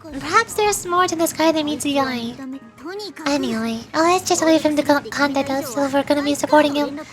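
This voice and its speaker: A woman's voice: high-pitched, fairly quick, music in the background, close to an everyday voice. A woman voicing a shrewd, sassy young cat-girl mercenary in a video game, teasing and nonchalant. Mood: smug, nonchalant. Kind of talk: video game dialogue read aloud in character.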